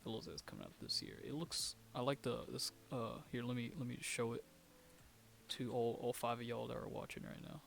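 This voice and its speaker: A man's voice: medium pitch, expressive, a little fast, creaky, a bit bright, slight background noise. Rambling man, casual podcast co-host. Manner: rambling, repeats himself, laughs often. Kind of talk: casual chat.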